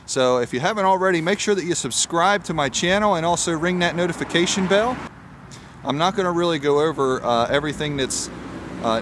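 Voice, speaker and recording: A man's voice: mid-range voice, a bit bright, a little hiss or hum. A man hosting a casual how-to video, explaining things informally. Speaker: YouTube channel host.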